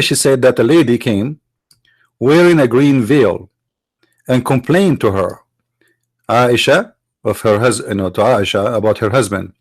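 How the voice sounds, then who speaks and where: A man's voice: medium pitch, slightly rough, no room sound. Sarcastic Arabic-speaking man, dry close sound. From Middle East. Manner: sarcastic, mocking rhetorical questions. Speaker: apologist speaker. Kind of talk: argumentative lecture.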